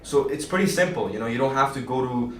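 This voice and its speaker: A man's voice: mid-range voice, expressive, quick pace, a bit bright, a little hiss or hum. A twenty-year-old man, a realtor, explaining casually and checking in with "okay?" and "right?". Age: young adult. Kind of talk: casual group chat. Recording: echoey room, mic at a distance.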